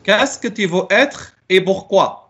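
Slow, patient man teaching online. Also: high voice, expressive, a bit bright, breathy edge. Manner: slow, patient, repeats and corrects answers. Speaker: French teacher. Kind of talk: online class, calling on students. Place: home, on a video call. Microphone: laptop mic on a video call.

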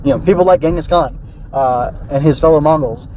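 A man's voice: fairly high pitch, brisk, a little mellow, slight background noise, poor audio. A man hosting a casual solo review podcast, rambling and thinking aloud with tentative digressions. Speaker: podcast host and film reviewer.

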